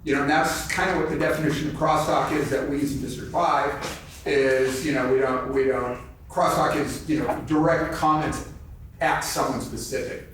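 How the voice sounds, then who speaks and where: A man's voice: fairly high pitch, fairly even intonation, moderate pace, fairly bright tone. A man sharing informally in a group discussion, conversational and hesitant, often repeating his phrases. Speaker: twelve-step meeting member sharing. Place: large echoey indoor convention room. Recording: echoey room, distant mic, faint noise.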